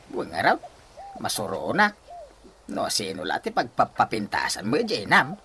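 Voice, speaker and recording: A middle-aged Ilocano husband whose pitch swings widely as he grumbles. He teases and mocks in broad radio comedy style. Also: wildly swooping pitch, normal speed, sharp tone, slight background noise. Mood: weary. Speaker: radio drama actor.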